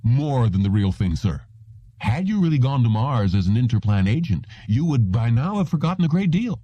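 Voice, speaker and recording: A man plays a smooth, patronizing salesman from an audiobook, pitched up high and swinging widely in tone. He is polite and reassuring, pressing his pitch in a mellow, closely recorded voice. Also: sing-song, normal speed, dry recording. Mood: confident sales pitch. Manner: insistent, reassuring, formally polite. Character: smooth, patronizing salesman of implanted memories, male. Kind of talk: audiobook character voice.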